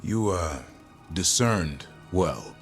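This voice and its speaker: Impatient, dutiful hunter for a fantasy game: fairly low, animated pitch, blunt and terse. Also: male voice, very slow, exaggerated voice. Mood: resolved. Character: brusque, impatient but dutiful male monster hunter. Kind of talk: video game voice acting. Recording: music behind the voice.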